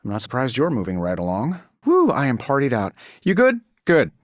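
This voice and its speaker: An actor with an American accent playing a cocky, wisecracking armored superhero inventor in a video game, with glib, sarcastic asides. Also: male voice, medium pitch, wildly swooping pitch, brisk, no room sound, studio quality. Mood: upbeat. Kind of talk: video game character voice lines.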